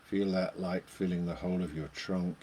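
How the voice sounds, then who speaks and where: A man leading a guided meditation, speaking in short phrases with long pauses between them. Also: low-pitched, fairly even intonation, warm tone, slight background noise.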